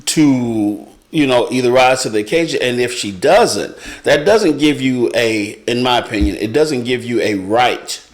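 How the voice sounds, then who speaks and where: Middle-aged male panelist, mid-range voice, halting, repeats words for emphasis, casual discussion. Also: medium pitch, animated.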